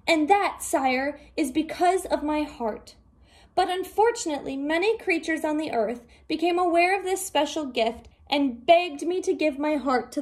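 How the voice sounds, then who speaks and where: Woman voicing a high-pitched, earnest little rabbit. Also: darkish tone. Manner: earnest, pleading, deferential. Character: small nervous but quick-witted female rabbit, earnest and polite.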